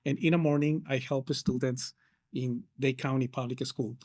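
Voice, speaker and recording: A man's voice: mid-range voice, steady tone, normal speed, darkish tone. A man from Peru, a counselor answering interview questions with many hesitations and restarts. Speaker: case manager counselor.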